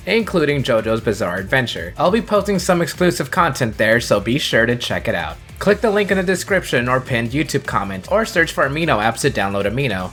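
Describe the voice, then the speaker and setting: A man's voice: medium pitch, a bit bright. A man hosting an online video, giving a scripted introduction and sponsor read directly to viewers. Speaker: YouTube video creator, host.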